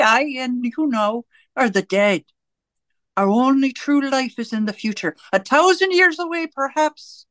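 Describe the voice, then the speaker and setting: A woman playing a composed, commanding party official in a radio play, speaking in a measured, solemn way. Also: fairly high pitch, a bit bright, dry recording.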